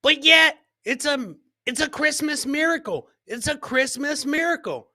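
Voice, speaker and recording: Male podcast host, high bright voice, casual comedy commentary, repeats catchphrases, chats to producer and viewers, dry close sound. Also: expressive, measured pace, no room sound. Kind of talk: casual live comedy commentary on video clips.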